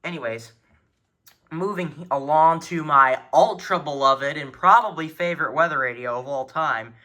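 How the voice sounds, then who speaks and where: Bright-voiced man showing off his gear. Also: fairly high pitch, moderate pace. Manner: enthusiastic hobbyist, chatty. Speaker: weather radio collector presenting his collection. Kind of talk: casual show-and-tell narration. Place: indoor room at home. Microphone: handheld camera, speaker off screen. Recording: faint noise, clicking of buttons.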